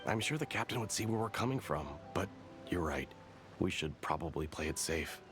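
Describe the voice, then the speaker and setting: Blustery, animated disgruntled soldier. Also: male voice, deep voice, fairly quick, over music. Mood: flustered, wary. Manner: grumbling, blustery. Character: hot-headed, disgruntled, suspicious rank-and-file soldier.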